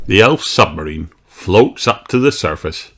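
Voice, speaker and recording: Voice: deep voice, expressive, measured pace, fairly bright tone, slight background noise. A narrator reading a children's storybook aloud from a script.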